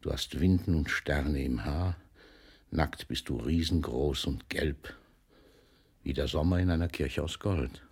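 Old poet in a radio play, deep n husky, grand and teasing, booms then goes hushed. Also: male voice, a little slow, full character voice. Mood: reciting, savoring. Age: older. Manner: declamatory, swings between booming outbursts and whispers. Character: older famous poet, theatrical, mocking, fatherly toward a young postman. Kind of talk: German radio drama acting.

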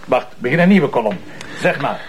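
A man's voice: mid-range voice, expressive, moderate pace, a little hiss or hum, lightly acted. A man playing a sardonic, bossy Flemish police commissioner who addresses the team like a theatrical, teasing schoolmaster in a TV drama.

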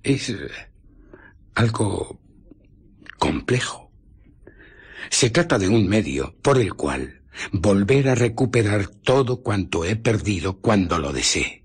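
A man with a Castilian Spanish accent playing an embittered, vengeful invisible scientist in a radio drama, brooding theatrically with pauses and breathy murmurs. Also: fairly low pitch, sing-song, full character voice. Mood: intent, secretive.

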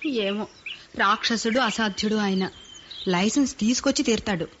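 An actress playing Chandra, a cautious woman guarding her family land, who speaks calmly and skeptically. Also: medium pitch, moderate pace, lightly acted. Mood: wary. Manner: calm, skeptical. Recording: dull lo-fi radio recording with faint hiss.

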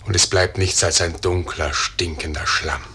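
An actor voicing a dark, morbid river spirit in a radio play, raspy, forceful and ominous. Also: male voice, low-pitched, fairly even intonation, normal speed, a little hiss or hum. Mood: grim.

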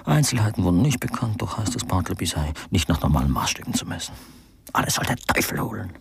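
A man's voice: sits low, big pitch swings, fast, vocal fry, noisy background, full character voice. A man acting an embittered, scheming writer in a radio play monologue, muttering sardonically and sometimes whispering. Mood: mocking, reading. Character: embittered, scheming writer brooding over his grievances.

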